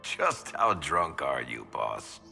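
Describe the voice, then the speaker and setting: Gravelly, irreverent henchman. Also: extremely low pitch, sing-song, slow pace, pushed and bright, soft background music, full character voice. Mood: sardonic. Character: irreverent, gruff male henchman. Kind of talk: fantasy game dialogue.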